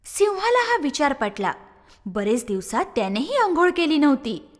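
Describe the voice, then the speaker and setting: A woman narrating a scripted story for children in an expressive storytelling style. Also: high voice, normal speed, long reverb tail, a little hiss or hum.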